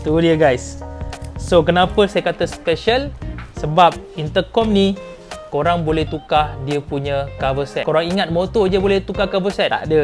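A Malaysian man with a fairly high-set voice presents an unboxing in a casual, slangy style. He is an enthusiastic vlog host who leans on catchphrases. Light music plays behind him. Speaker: motovlog host. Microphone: clip-on lapel mic.

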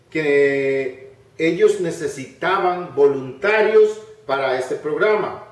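Man, middle-aged, Latin American Spanish accent, bilingual phrase-by-phrase lesson, slightly high voice, extremely slow, deliberate. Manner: deliberate, short halting phrases. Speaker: volunteer Spanish teacher. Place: living room at home. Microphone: camera a few metres away. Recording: roomy echo, distant camera microphone.

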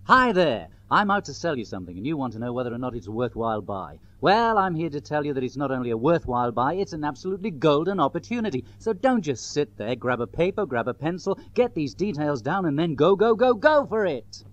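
A man voicing a brash, pushy advertising pitchman, emphatic and urgent in a hard-sell style. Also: big pitch swings, brisk, few pauses, fairly bright tone. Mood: excited, urgent. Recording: dull home-made tape.

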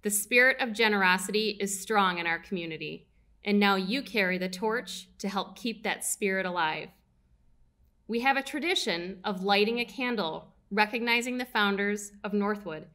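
Young woman reading a graduation speech at a podium, steady and measured. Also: medium pitch. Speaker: alumni relations director. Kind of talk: read formal address. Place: stage at a podium. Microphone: podium mic.

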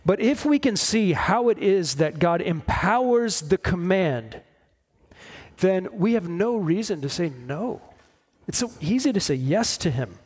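A man in his forties preaching a sermon, explaining in measured short phrases with pauses. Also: sits high, lively intonation, a little mellow, husky. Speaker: pastor preaching. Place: church stage at a lectern, indoors.

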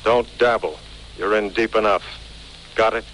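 An actor playing a skeptical, gruff male police detective, terse and dry, in 1940s radio style. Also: fairly low pitch, fairly even intonation, normal speed, fairly bright tone, lightly acted. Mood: stern. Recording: noisy vintage 1940s radio recording.

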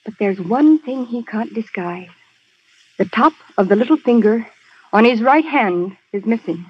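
A woman's voice: fairly high pitch, lively intonation, unhurried, slightly rough. An actress playing a frightened, secretive woman spy in a 1930s radio drama, speaking urgently. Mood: tense, secretive. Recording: dull old radio broadcast.

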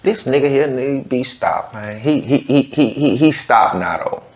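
Slow drawling man, lively pitch. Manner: drawling, incredulous, slangy. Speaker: reaction video host. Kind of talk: casual reaction commentary. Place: virtual news studio set. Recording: lo-fi, roomy sound.

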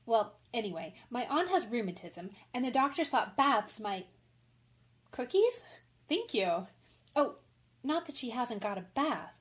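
Impulsive young tomboy, woman actor: high voice, candid, rambling, breathless radio-play delivery. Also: very animated, measured pace. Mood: chatty, flustered. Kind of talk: radio drama acting.

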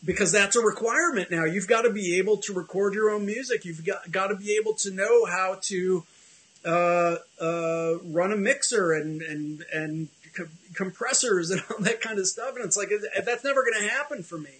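A man's voice: high-pitched, normal speed, fairly bright tone, poor audio. A middle-aged man hosting a casual video call chat from his home office, rambling and self-deprecating with many restarts. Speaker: host.

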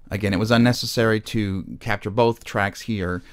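A man presenting an informal step-by-step music software demonstration, haltingly thinking aloud as he goes. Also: fairly low pitch, steady tone, dry recording. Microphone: microphone recorded straight into screen-capture software.

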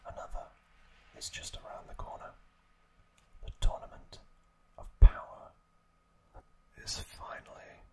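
A man narrates ASMR in a breathy whisper, right up at the microphone. His pace is very slow, with hushed, drawn-out phrases delivered as a host addressing listeners.

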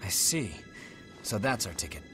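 An actor playing a calm, brainy young male leader who speaks in a measured, courteous way in a video game cutscene. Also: lowish voice. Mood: serious, thoughtful. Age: young adult. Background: background music.

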